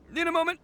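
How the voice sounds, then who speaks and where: A man's voice: very high-pitched, vocal fry, fairly bright tone, heavily acted. A man voicing a brash, boastful young oni gang boss in a video game, theatrical and goofy, with a hot-headed swagger.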